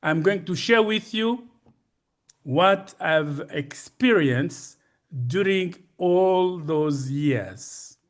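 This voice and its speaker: Male presenter, early seventies. High-pitched voice, halting and courteous delivery of a personal talk. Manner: halting, deliberate, formal and courteous. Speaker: conference speaker, retired ophthalmologist.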